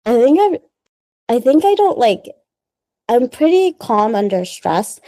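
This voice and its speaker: Woman, from the Philippines, casual interview guest, rambling and chatty, mellow tone, dry sound. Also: on the high side, very animated, unhurried, no echo. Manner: chatty and rambling, many "like"s, laughs often. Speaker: guest, small business founder.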